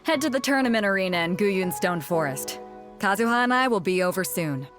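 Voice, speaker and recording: A bold, easygoing female captain from a video game, acted by a woman. She speaks in a mid-range voice with animated pitch, confident and persuasive. Soft music sits behind her. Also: fairly quick, natural voice. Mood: breezy, amused.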